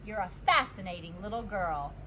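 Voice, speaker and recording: A woman voicing a stern but kindly male school principal in a children's storybook, speaking in a deliberate, admonishing way. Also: mid-range voice, expressive, pushed and bright, slight background noise. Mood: gently amused. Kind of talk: children's storybook character reading.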